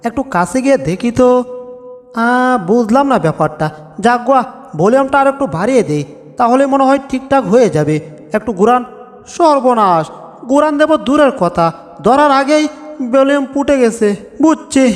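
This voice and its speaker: A man voicing a whiny, bossy comic cartoon cat in a Bengali dub, grumbling and narrating his own doings with exaggeration. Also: very high-pitched. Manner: exaggerated complaining, self-narrating. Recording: long reverb over background music.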